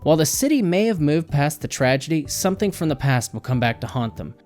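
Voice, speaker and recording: A man's voice: a little high, very animated, a little fast, darkish tone. A man reading a scripted video game review as a voice-over narration. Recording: music behind the voice. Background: background music.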